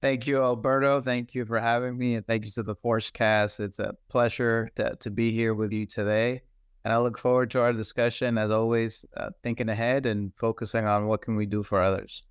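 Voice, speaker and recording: A man from the Dominican Republic, a cybersecurity executive, telling his story as an interview guest in a measured, earnest way and pausing often on "uh". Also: mid-range voice, little pitch movement, clean tone, no room sound, clean studio sound. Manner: measured, earnest, pauses with frequent "uh". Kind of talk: reflective personal storytelling.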